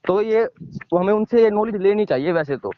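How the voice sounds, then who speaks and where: High-pitched man explaining emphatically. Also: animated, moderate pace, no echo. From village in India. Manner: emphatic, repetitive, checks listener with short tag questions. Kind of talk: informal explanatory phone talk. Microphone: phone call. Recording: dull lo-fi phone call recording.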